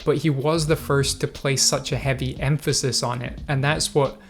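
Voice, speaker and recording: Hesitant man, mid-pitched, casual video commentary, light music underneath. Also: steady tone, normal speed, creaky. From Scotland. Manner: hesitant, many fillers and restarts, thoughtful. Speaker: video host.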